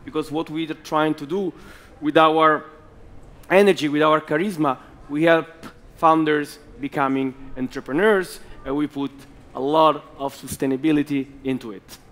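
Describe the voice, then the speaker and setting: A man in his thirties with an Italian accent, giving an emphatic talk as an investor hosting a conference stage. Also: a little high. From Italy. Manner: slow, emphatic, animated bursts. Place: large conference hall with audience.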